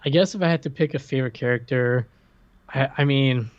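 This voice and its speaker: A man's voice: medium pitch, dull audio. A man co-hosting a podcast in casual chat, talking hesitantly and breaking off mid-sentence. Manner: hesitant, halting, self-interrupting. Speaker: podcast co-host.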